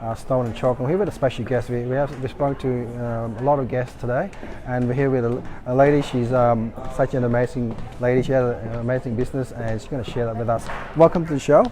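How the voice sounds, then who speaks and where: A man hosting an event interview, eager and halting, with frequent restarts and fillers. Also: medium pitch, steady tone, mellow, slight background noise.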